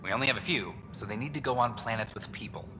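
A man's voice: medium pitch, over music, close to an everyday voice. A man voicing a tired, eager news reporter short on sleep in a sci-fi game. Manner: eager, fast-talking, a little weary. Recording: long reverb, game ambience behind.

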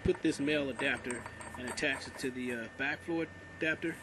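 A man walks through a hands-on plumbing lesson, talking in a plain, unhurried way and pausing often to find the next term. His voice sits at a middle pitch. The recording comes from a camera near the work, with loud noise behind him. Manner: plain, unhurried, many "uh" pauses between terms. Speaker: plumbing instructor. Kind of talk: casual how-to narration over hands-on work. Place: indoors on a concrete floor, working close to the camera.

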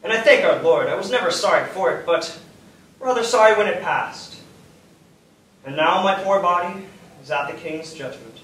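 A dignified, devout statesman facing death , played by a man in a stage play. His voice is high, and he orates in measured, formal phrases, defiant yet serene. It is heard from far off in a very echoey theatre. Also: animated, fairly bright tone. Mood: impassioned, defiant. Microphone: far camera in audience. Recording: echoey theatre, distant mic, faint noise.

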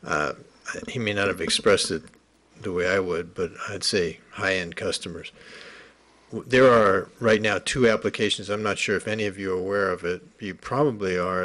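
Middle-aged male, low pitch, matter-of-fact and measured, informal civic-comment delivery. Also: a bit bright. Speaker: member of the public commenting at a hearing. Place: large council chamber, indoors. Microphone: podium gooseneck microphone.

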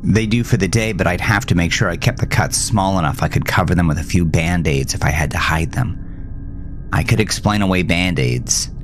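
A man narrating a first-person horror story for a podcast, with dramatic pauses and whispery emphasis. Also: low-pitched, music in the background.

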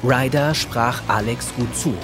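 A man's voice: medium pitch, unhurried, over music. A man narrating a children's audio drama as a scripted storyteller.

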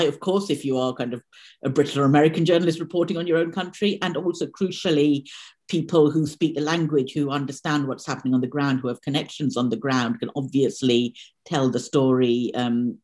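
A woman's voice: rumbling low, a little fast, a little mellow. Casting: woman, forties, seminar host, conversational and thoughtful, building long questions on a laptop video call. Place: room indoors, video call.

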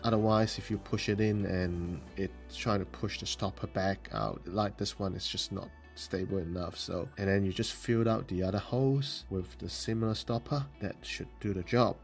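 A man with a low voice hosts a model-building tutorial. He explains each step plainly and calmly, pausing with ums as he works. Soft music plays faintly underneath. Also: vocal fry. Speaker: host of a model-building show. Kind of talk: hobby tutorial voice-over.

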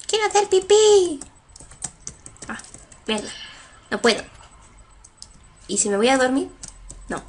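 Young woman, high voice, slow and giggly gameplay chatter with faint keyboard noise. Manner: giggly, reads game text aloud, squeals when startled. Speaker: let's play gamer. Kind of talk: casual gameplay commentary.

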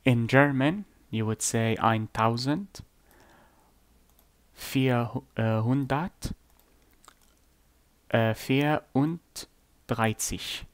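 Give me a man, an Arabic native speaker, explaining math lessons in an unhurried, deliberate, plain-spoken way. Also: mid-range voice, clear, resonant tone, no echo. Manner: slow, deliberate explaining with blunt asides. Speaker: math teacher. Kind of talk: screen-recorded whiteboard lesson.

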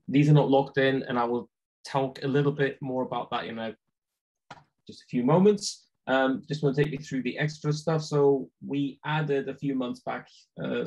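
A man whose first language is Norwegian walks through video text templates on a video call, talking in a relaxed, chatty way with lots of ums and uhs. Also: mid-range voice, gravelly, poor audio. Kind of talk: screen-share tutorial on a video call. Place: home room with bookshelves.

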